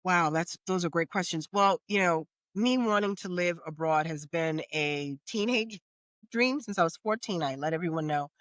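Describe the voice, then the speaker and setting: A woman's voice: lowish voice, normal speed, fairly bright tone, dry recording, studio quality. A middle-aged American woman answering questions as a guest in a conversational podcast interview. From United States.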